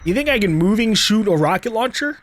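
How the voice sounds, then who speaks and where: Higher-pitched man doing casual game commentary. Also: lively intonation, fairly quick, a bit bright, a little hiss or hum. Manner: rambling, thinking aloud, playful. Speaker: gaming video host. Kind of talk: casual let's play commentary. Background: faint game sounds.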